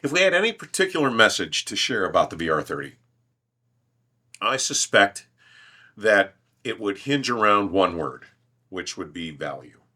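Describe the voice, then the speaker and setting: Animated, bright-voiced man talking audio gear. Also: on the low side, moderate pace, pauses often. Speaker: host, audio equipment reviewer. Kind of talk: casual enthusiast conversation. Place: large, minimally treated listening room.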